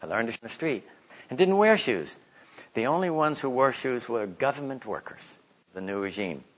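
Male Bible teacher, animated storyteller, mid-pitched, slightly muffled lo-fi sound. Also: normal speed. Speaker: Bible teacher giving a talk. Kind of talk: informal religious teaching with stories and a read-aloud testimony. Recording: slightly dull, lo-fi recording.

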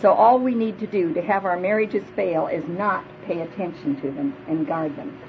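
A woman's voice: fairly low pitch, normal speed. A woman in her fifties with a big voice, preaching earnestly to a women's church gathering and leading prayer. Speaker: speaker at a women's church retreat. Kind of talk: sermon. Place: hall before a live audience. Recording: dull lo-fi live recording with faint noise.

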